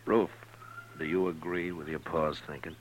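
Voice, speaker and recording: An actor playing a stern, steady American frontier marshal in a 1950s radio western, measured and plain-spoken. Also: male voice, very deep voice, a little hiss or hum. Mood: calm, grave. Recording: dull old radio recording.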